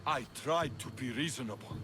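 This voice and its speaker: A man's voice: mid-range voice, normal speed, natural voice. An actor playing a jealous young male soldier, resentful and rising in anger, in game audio with ambient noise behind him. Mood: bitter.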